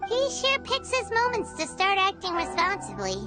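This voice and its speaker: A woman's voice: very high voice, wildly swooping pitch, normal speed, full character voice. A woman voicing a small, childlike, sassy and exasperated sidekick who scolds in an anime video game. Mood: wry. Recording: music playing behind the voice.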